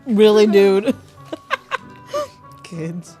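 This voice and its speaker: Woman streamer, playful reader; lively, swinging pitch, soft game score behind. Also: extremely slow. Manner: playful, giggly, animated reactions. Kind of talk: reading game text and reacting. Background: game music.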